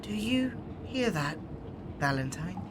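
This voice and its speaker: A woman's voice: on the low side, sing-song, slow, background noise. A woman playing an earnest, persuasive exiled shapeshifter in a tabletop roleplay.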